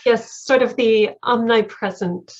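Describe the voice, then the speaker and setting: A woman's voice: on the high side, measured pace. A woman chatting casually as a participant in a conference discussion, heard over a video call. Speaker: panel participant, conference staffer. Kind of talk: casual chat. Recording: slightly dull video-call audio.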